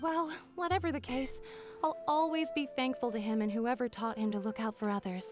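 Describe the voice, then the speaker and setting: A woman's voice: a little high, steady tone, brisk, music in the background, close to an everyday voice. A woman playing a friendly, earnest and dramatic villager in a fantasy video game, speaking expressively. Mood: grateful.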